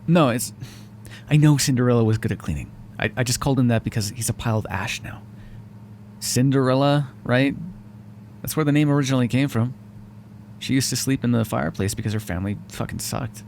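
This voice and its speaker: A man's voice: mid-range voice, a little fast, gravelly, a little mellow, slight background noise, lightly acted. A man voicing a sarcastic, foul-mouthed vampire who is grudgingly helpful and hungry, speaking intimately to the listener in an audio roleplay. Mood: exasperated, then wry, sardonic. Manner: sarcastic, wry, exasperated, conversational. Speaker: roleplay voice actor.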